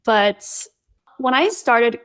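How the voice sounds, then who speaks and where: A woman's voice: on the high side, lively intonation, very slow, fairly bright tone, clear, resonant tone. A young woman from Los Angeles, an artist guest on a podcast, speaking hesitantly with many fillers and small laughs. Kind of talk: casual interview chat.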